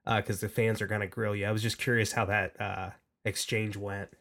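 A man with a slightly low voice co-hosts a podcast. He asks his questions conversationally, with a hesitant, halting delivery. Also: little pitch movement, vocal fry, fairly bright tone. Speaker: podcast co-host. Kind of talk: conversational interviewing.

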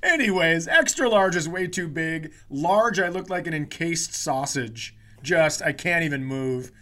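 A man's voice: on the high side, animated, unhurried, pushed and bright. A man co-hosting a comedy podcast, telling self-deprecating stories in casual, joking banter. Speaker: podcast co-host.

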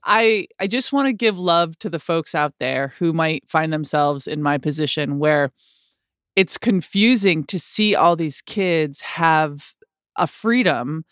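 A woman with a low voice telling a personal story in an earnest, halting way, often restarting her sentences. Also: expressive, a bit bright, smooth, no echo, clean studio sound. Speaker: podcast co-host.

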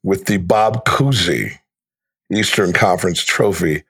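A man's voice: low-pitched, unhurried, a bit bright, husky. Wry male sports announcer chatting casually. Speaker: basketball radio play-by-play announcer, guest. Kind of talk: casual podcast conversation.

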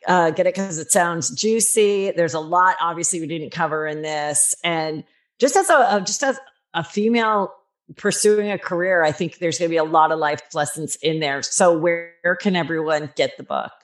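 A woman's voice: lowish voice, moderate pace. Affirming woman podcast host. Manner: friendly, affirming interviewer. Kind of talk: interview.

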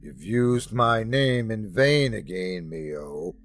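A man voicing a wrathful, remorseless almighty male god who speaks in slow, emphatic, commanding declarations. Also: lowish voice, animated. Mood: angry.

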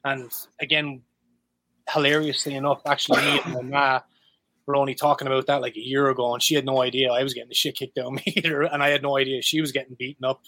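A man in his thirties with an Irish accent, a guest musician talking frankly and profanely, with lots of halting restarts. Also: medium pitch, level tone, sharp tone, no room sound, rough recording. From Ireland. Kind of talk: candid casual interview talk.

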